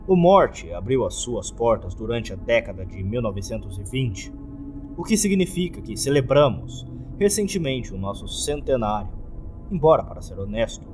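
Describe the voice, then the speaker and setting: A Brazilian man voicing a gloomy, courteous hotel keeper in a formal, measured, scripted horror narration. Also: fairly low pitch. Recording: music under the voice.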